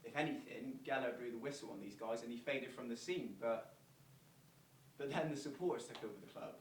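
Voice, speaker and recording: A man's voice: mid-range voice, brisk, distant mic, natural voice. A man playing an earnest football fan recalling events in a verbatim stage monologue. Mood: reflective. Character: earnest football fans recalling a celebrity visit, verbatim theatre. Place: theatre performance space, distant microphone. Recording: roomy stage recording from afar, faint noise.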